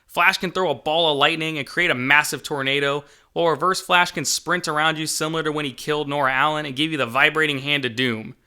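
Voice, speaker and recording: A man's voice: a little high, steady tone, quick pace, hardly any pauses, bright, forceful tone, smooth. A man hosting as a game developer, giving upbeat scripted video narration with an enthusiastic, jokey manner. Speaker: game developer and channel host.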